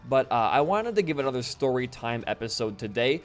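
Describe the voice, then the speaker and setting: A laid-back young man from Wisconsin, a bit hoarse after losing his voice, rambling casually while commenting on his gameplay. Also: mid-range voice, sing-song, a little fast. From Wisconsin, USA. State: slightly hoarse from voice loss. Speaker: gaming channel host. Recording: faint game music behind.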